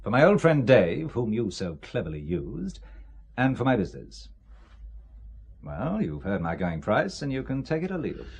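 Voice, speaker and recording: A man acting a shrewd British businessman in a spy drama. His voice is husky and moves freely in pitch, yet he stays composed, measured and dryly polite. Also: sing-song, darkish tone, heavily acted. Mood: cool, firm. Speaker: actor in an audio spy drama.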